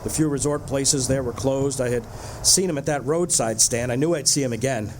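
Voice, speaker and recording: A man's voice: mid-range voice, brisk, a little hiss or hum, natural voice. A man with an American accent playing an anxious, haunted driver in a radio drama, earnest and uneasy. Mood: brooding, tense. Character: haunted, anxious male motorist narrating his road trip. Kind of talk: dramatic first-person radio drama narration and dialogue.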